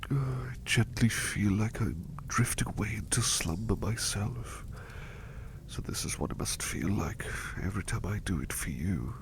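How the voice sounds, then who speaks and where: A man voicing an ill, devoted butler in an ASMR roleplay, talking softly in a whispery, affectionate way as he grows sleepy. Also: low voice, gravelly, slight background noise, heavily acted. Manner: soft, whispery, affectionate, repeats phrases. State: sick and sleepy. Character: devoted, gentle male butler, ill in bed.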